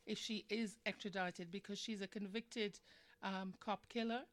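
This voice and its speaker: A woman's voice: medium pitch, steady tone, normal speed, dry recording. A woman hosting a live radio discussion, speaking in a conversational, opinionated way and putting questions to her listeners. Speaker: radio show host.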